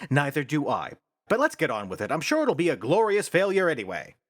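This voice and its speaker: Blustering, exasperated theater manager, swooping pitch. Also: male voice, wildly swooping pitch, a little fast, dry recording, studio quality. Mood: exasperated, lecturing. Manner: bossy, blustering, declamatory. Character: exasperated, pompous male theater manager running a rehearsal. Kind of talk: theatrical radio play acting.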